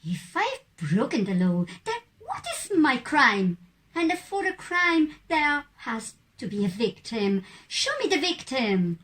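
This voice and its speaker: A woman acts out a defiant female citizen in a puppet show. She speaks slowly and firmly, with a highish voice and a very lively rise and fall. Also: slight background noise, exaggerated voice. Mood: challenging. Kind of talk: scripted puppet show dialogue.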